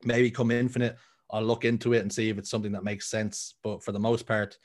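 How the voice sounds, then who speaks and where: Rather low-pitched man of thirty with a Dublin Irish accent, telling stories as a guest in a relaxed interview. He rambles, starting over often and filling gaps with ums. His talk stays easy and conversational. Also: fast. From Ireland. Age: 30s. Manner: rambling, full of ums and restarts. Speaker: guest, content creator. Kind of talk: casual interview chat.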